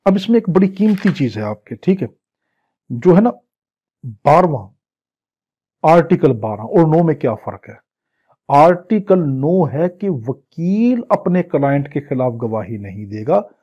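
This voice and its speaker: A Pakistani man with a fairly high, husky voice gives a law lecture. He reads out formal text and explains it piece by piece like a patient tutor working through examples. The recording is dull and lo-fi. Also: very animated, measured pace, mellow. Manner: didactic, translating phrase by phrase, with acted-out examples. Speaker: law teacher. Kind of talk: exam-prep lecture, reading legal text and explaining it.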